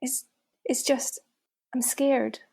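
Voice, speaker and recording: A woman acting a husky, anxious woman. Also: high voice, fairly even intonation, slow pace, warm tone, heavily acted. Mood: scared. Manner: hesitant, plaintive. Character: frightened, weary, needy female regular visitor to helpline. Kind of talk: naturalistic radio play acting.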